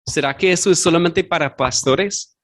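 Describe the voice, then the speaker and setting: A man's voice: on the high side, normal speed, a bit bright. A man preaching a sermon as pastor, earnest, a non-native Spanish speaker who searches for words and corrects himself aloud. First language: not Spanish. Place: church sanctuary.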